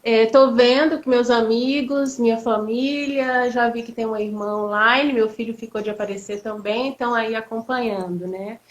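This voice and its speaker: A woman's voice: a little high, steady tone, normal speed, hardly any pauses. A woman, a law professor talking reflectively with many fillers and restarts in a live online interview. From Bahia, Brazil. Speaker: law professor, guest speaker. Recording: echoey, dull lo-fi audio.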